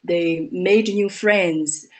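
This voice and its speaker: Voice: mid-range voice, fairly even intonation, very slow, darkish tone. An English teacher leading an online speaking class, encouraging the learners and affirming their points. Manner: encouraging, affirming with "truly" and "mm-hmm". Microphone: video call.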